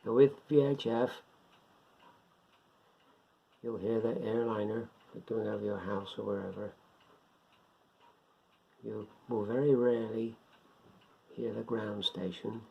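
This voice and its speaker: Guy doing a rambly beginner explainer, raspy husky voice on the low side, kinda muffled lo-fi home recording. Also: steady tone, slow, pauses often. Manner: rambling, step-by-step, repeats himself. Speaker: hobbyist presenter of a beginners' guide. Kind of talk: informal unscripted explainer.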